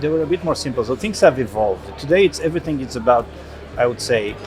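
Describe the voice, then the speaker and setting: A man's voice: medium pitch, animated, fast talker, over music. A man in his fifties from Romania, an interview guest speaking earnestly and enthusiastically at a trade event, with small restarts. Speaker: interview guest, logistics executive. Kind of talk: conversational interview.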